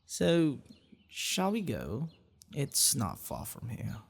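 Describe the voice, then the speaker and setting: A man voicing an affectionate, protective, bashful dragon boyfriend in a soft, breathy, hesitant ASMR roleplay. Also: medium pitch, wildly swooping pitch, slow, exaggerated voice. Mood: playful, coaxing.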